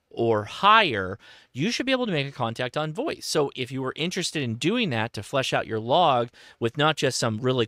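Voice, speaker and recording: American man, bright close-miked voice. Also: medium pitch, no room sound. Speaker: host, ham radio operator. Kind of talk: casual explainer and radio operating. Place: indoor home radio desk. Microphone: close boom studio microphone.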